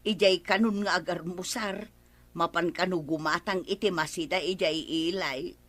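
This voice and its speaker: A woman's voice: lowish voice, fairly even intonation, normal speed, fairly bright tone. A woman acting a whining, gambling housewife in a melodramatic Ilocano radio comedy drama. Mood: sulky, complaining. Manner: wailing, exclamatory, melodramatic. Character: whining gambling housewife, loud and easily hurt.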